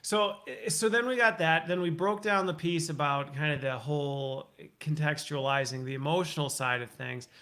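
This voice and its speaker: A man's voice: on the high side, moderate pace, few pauses, bright, forceful tone. A talkative man hosting a conversational interview, thinking aloud, recapping and asking curious questions. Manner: talkative, inquisitive, recaps and checks back. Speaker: show host and interviewer.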